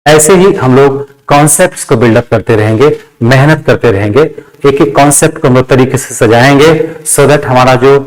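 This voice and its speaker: A man's voice: mid-range voice, low-quality recording. A man teaching a grammar lesson step by step, repeating phrases and asking himself check questions. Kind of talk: classroom lesson with step-by-step explanation.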